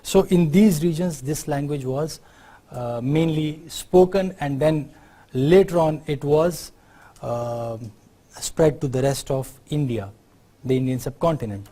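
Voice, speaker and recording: A middle-aged man with a Pakistani accent giving an unscripted university lecture, often pausing on fillers and checking in with "right?". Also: on the high side, dark tone. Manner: unscripted explaining, many fillers, tag questions like "right?".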